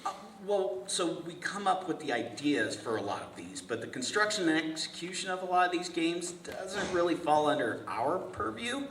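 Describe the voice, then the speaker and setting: In a noisy, echoey room, a man acts out an earnest, patient family man at a table read. He is pleasant to a fault, chatty and hesitant, with a lively, swooping voice. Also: on the high side, moderate pace, hardly any pauses, far from the mic. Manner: hesitant, chatty, apologetic, stammering. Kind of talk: live script table read.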